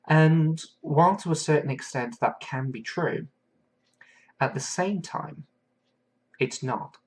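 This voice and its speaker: A man from England hosting a solo podcast, talking in an earnest, thoughtful way and pausing often with fillers. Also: fairly high pitch, husky. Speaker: podcast host. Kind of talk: reflective solo podcast monologue.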